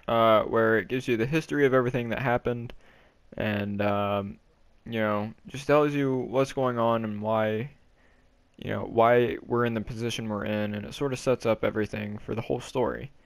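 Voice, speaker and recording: Casual male gamer with a creaky voice. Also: steady tone, no echo. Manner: hesitant, halting, low-key. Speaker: gaming video creator. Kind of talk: casual game walkthrough commentary.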